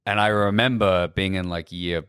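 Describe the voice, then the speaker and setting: An Australian man, a guest on a podcast, chatting casually in a relaxed, rambling way with lots of fillers. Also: sits low, moderate pace, clear, resonant tone, no echo, clean studio sound. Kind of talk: casual chat. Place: podcast studio.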